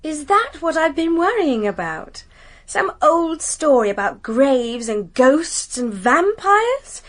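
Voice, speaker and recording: A proud young English lady in a radio drama. Her voice is high and animated, with wide swings in pitch. Her manner is dismissive and spirited. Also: takes it slowly, heavily acted. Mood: indignant, scornful. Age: young adult.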